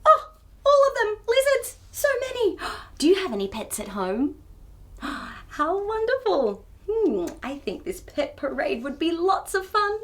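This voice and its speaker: A woman hosting a children's storytime, reading a picture book aloud in an expressive, theatrical way and chatting to young viewers. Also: very high-pitched, unhurried. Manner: expressive, theatrical, with gasps and exclamations.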